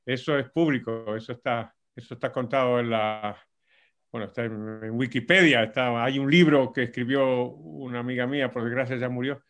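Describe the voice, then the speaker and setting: An older man from Argentina with a Spanish accent from Madrid that slips back toward Argentine speech. He tells his story as an interview guest in a fluent, measured way, at an ordinary pitch for a man. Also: fairly even intonation, fairly bright tone, dull audio. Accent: Madrid Spanish mixed with Argentine. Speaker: guest economist.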